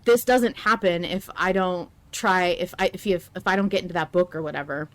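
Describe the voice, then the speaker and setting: A woman hosting a casual fan discussion of an audio drama, talking in an animated way and repeating phrases for emphasis. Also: lowish voice, brisk. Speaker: podcast host.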